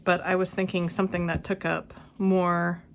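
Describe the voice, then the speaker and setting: A middle-aged woman with a cold and a slightly hoarse voice, musing aloud in a casual plan-with-me vlog at home. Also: fairly low pitch, flat delivery, slight background noise. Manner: unhurried, musing aloud while crafting. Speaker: planner vlogger. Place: quiet home.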